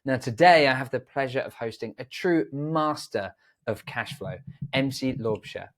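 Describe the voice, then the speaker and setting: A man's voice: medium pitch, normal speed. A man hosting a business podcast, reading a scripted intro and then asking polite, hedging questions. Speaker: podcast host.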